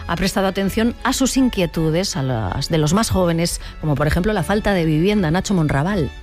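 A woman's voice: fairly low pitch, animated, brisk, darkish tone. A woman presenting live news as anchor. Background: faint music.

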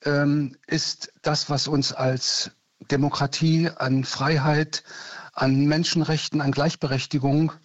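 A man's voice: on the high side, flat delivery, slow, dry recording. A man in his sixties from East Germany, calling in to a radio show and speaking earnestly, like giving a small speech. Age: 60s. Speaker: radio caller. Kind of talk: phone-in call. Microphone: phone. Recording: lo-fi dry phone line.

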